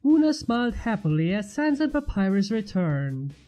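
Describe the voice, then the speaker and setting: A woman narrates a fan fiction story. Her voice is mellow and medium in pitch, with lively movement as she reads the scripted prose expressively. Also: moderate pace, soft background music.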